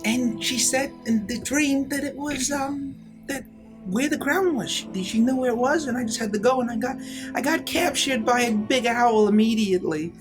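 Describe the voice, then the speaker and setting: A woman as a high-voiced, stammering little pixie. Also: normal speed, over music, natural voice, poor audio. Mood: earnest. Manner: hesitant, stammering. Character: tiny, naive, flustered pixie with a sneaky streak. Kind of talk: improvised tabletop roleplay.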